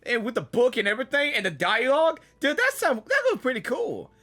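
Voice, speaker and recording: A woman gamer giving casual, excitable reaction commentary, often saying "like" and "dude". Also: a little high, brisk. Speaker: gamer reacting to a game on camera.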